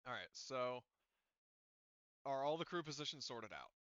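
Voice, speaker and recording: A man runs a casual tabletop game in a forceful, smooth voice. He talks through the details out loud, pausing to correct himself. The sound is very dry and a little lo-fi, like a voice chat. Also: medium pitch, expressive, no echo. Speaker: game master. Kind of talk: casual tabletop game talk, running a session.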